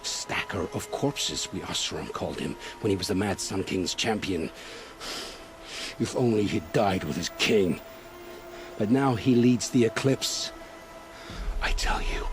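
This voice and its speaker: An actor playing a frightened, world-weary scrounger turned informant in a video game drama, speaking in halting, grim, folksy storytelling phrases. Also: male voice, fairly low pitch, lively intonation, music in the background. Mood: hushed dread.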